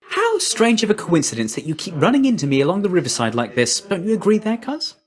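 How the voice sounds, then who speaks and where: A man's voice: high voice, huge pitch swings, quick pace, husky, exaggerated voice. A man voicing a breezy, chummy young messenger god in a video game, with a slangy, glib, friendly manner.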